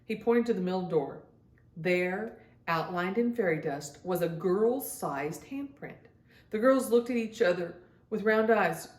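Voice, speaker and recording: Lady doing storytime for kids, expressive read aloud, medium pitch, echoey room. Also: a little slow. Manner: addresses young children directly, acts out dialogue. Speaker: children's storybook reader and host.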